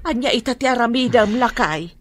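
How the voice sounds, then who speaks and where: A woman's voice: fairly high pitch, lively intonation, brisk. A middle-aged woman with an Ilocano accent plays an anxious mother who pleads for her children in a radio drama. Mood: worried.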